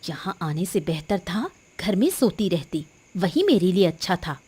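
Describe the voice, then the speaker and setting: A woman's voice: fairly low pitch, sing-song, a little slow, dark tone, breathy edge, heavily acted. A woman voicing a fretful, nagging cartoon wife who complains insistently. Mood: annoyed. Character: fretful nagging wife, squeamish about insects. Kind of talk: Hindi moral-story cartoon dubbing.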